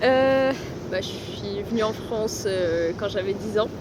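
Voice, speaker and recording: A woman's voice: medium pitch, measured pace, noisy background. A woman of nineteen, from Italy, a design student chatting as a podcast guest outdoors, laughing often and filling her talk with "genre". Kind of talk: casual chat. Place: outdoors in a city on a hot day.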